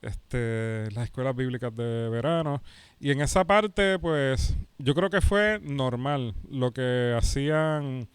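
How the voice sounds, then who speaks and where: A man in his forties with a Puerto Rican accent speaks casually, as a guest in a conversation. His voice is smooth and clear, recorded close and dry. He tells things piece by piece, in short phrases. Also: medium pitch, fairly even intonation, takes it slowly, no room sound. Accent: Puerto Rican Spanish. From Puerto Rico. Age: 40s. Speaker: pastor and podcast host being interviewed. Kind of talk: casual conversational interview answers.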